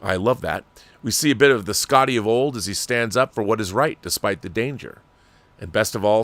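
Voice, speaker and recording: A man's voice: fairly low pitch, fairly bright tone, no room sound. A middle-aged American man hosting a casual fan livestream, reading viewer messages aloud and chatting with opinionated asides.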